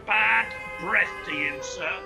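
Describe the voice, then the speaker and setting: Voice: mid-range voice, takes it slowly, creaky. An actor playing a brash, slick street hawker, delivering grandiloquent sales patter in a theatrical radio play style. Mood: slick, showy. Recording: streamed radio play with music underneath.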